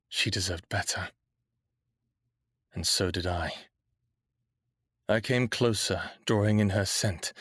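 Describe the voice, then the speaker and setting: An actor playing a defiant, mouthy human captive who yearns for freedom, in an intense, brooding audio drama style with first-person narration. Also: male voice, fairly low pitch, a bit bright, slightly rough, no room sound, clean studio sound, heavily acted.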